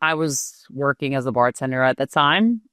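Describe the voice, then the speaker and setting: Smooth, low-voiced woman from Israel. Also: lively intonation, fairly bright tone, no echo, clean studio sound. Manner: measured, with frequent fillers. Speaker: real estate agent, guest. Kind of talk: casual interview answers.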